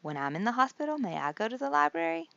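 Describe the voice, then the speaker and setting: A woman's voice: high voice, sing-song, quick pace, gravelly, a bit bright, no echo, lo-fi sound, voice pushed up, exaggerated voice. A woman voicing a sweet, curious little monster boy from a children's storybook, eager and innocent.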